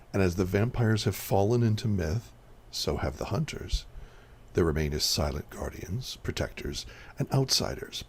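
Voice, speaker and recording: Husky low priest mentor, solemn and precise, voice rises and falls a lot, man acting. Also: a little mellow, heavily acted. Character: solemn old monk mentor, precise and learned. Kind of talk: hobby radio drama acting.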